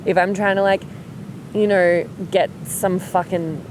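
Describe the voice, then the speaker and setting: A woman's voice: fairly low pitch, fairly even intonation, measured pace, noisy background. A woman hosting a podcast, chatting casually, emphatic and swearing freely. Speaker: podcast host.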